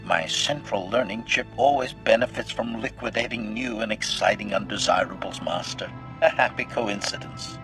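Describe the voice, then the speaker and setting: Low-voiced, coldly murderous robot assassin. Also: male voice, moderate pace. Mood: coldly pleased. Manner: formal, clipped, announces each statement with a label. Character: cold, calculating male assassin droid, gleefully bloodthirsty, loyal servant. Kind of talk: scripted video game acting. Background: background music.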